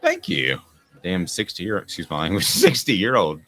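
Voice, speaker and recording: A man's voice: low voice, wildly swooping pitch, moderate pace, a bit bright. A man in his forties hosting a sports talk show, telling rambling, self-mocking stories in a casual way. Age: 40s. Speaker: sports radio host.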